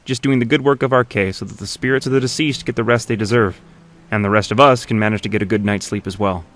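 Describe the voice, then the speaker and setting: An actor playing a reassuring, courteous priest in a fantasy game, speaking in a measured, formal, genial way. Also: male voice, on the low side, steady tone, fairly bright tone, lightly acted. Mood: calm, satisfied. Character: reassuring, courteous male priest tending the dead.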